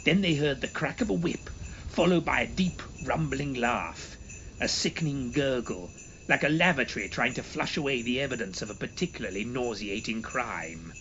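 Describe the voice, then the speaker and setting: A man's voice: mid-range voice, expressive, moderate pace, fairly bright tone, dull audio, slight background noise. A man reading a comic horror story in a pompous, theatrical, mock-serious storytelling manner. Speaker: story reader and performer. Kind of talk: dramatic scripted narration of comic horror.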